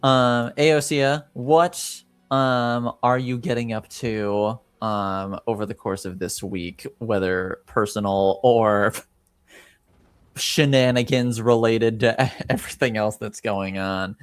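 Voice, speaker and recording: A man moderating a tabletop game as game master, casual and measured, with pauses. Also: fairly low pitch, fairly even intonation, clean tone, no echo.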